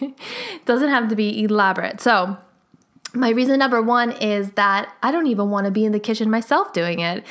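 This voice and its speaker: A woman's voice: fairly high pitch, a bit bright. A young adult woman hosting a solo podcast, talking casually with frequent laughs and lots of "like" fillers. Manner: chatty, laughs often, many "like" fillers. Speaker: podcast host.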